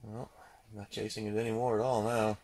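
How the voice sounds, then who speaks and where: A man giving casual running commentary as he fishes, muttering and repeating himself in a husky, gravelly voice. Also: fairly low pitch, little pitch movement, brisk, darkish tone, slight background noise. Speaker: ice angler demonstrating a fish finder. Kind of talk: excited casual running commentary while fishing.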